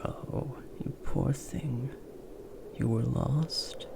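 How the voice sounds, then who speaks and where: Casting: male actor for a big gentle werewolf, protective and soothing, mostly whispered, slow delivery, ASMR roleplay. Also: medium pitch, steady tone, heavily acted. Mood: tender, soothing. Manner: hushed, soothing, breathy whispering and murmuring. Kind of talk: comforting ASMR audio roleplay.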